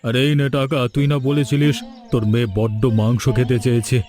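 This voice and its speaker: A man's voice: mid-range voice, steady tone, a little fast, mellow, faint music behind, natural voice. A man voicing a stern but generous village landlord and father in a cartoon folk tale. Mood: grateful, generous.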